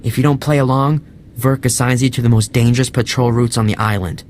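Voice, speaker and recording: An actor playing an earnest, anxious junior soldier in a video game, speaking with deferential urgency. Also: male voice, medium pitch, fairly even intonation, fairly quick, darkish tone, slight background noise, close to an everyday voice. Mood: worried, urgent.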